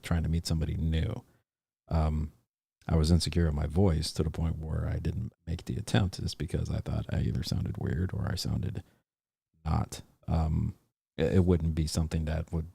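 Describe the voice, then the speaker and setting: Very deep gravelly man, dry close sound. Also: warm tone, no room sound. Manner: rambling, hesitant, many fillers and restarts. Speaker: podcast host. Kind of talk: casual livestream chat.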